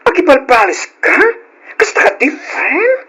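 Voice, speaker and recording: A man's voice: very high voice, huge pitch swings, unhurried, pushed and bright, slightly rough, exaggerated voice. A man playing a flamboyant, gossipy, teasing friend in an Ilocano radio drama, with campy exclamations. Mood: apologetic, nosy.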